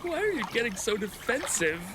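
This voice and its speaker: An excitable, self-righteous waterfowl fellow , acted by a man, with a high voice that swoops all over. He gushes and takes offence easily in a comic radio play, over clearly audible trickling water. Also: a little fast, background noise. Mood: offended, huffy. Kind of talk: comedic audio drama acting.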